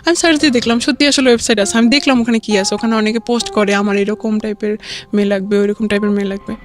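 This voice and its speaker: A young adult woman with a Bangladeshi accent tells a personal story as an interview guest, rambling and halting, often repeating filler phrases. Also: medium pitch, fairly even intonation. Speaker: guest telling own life story.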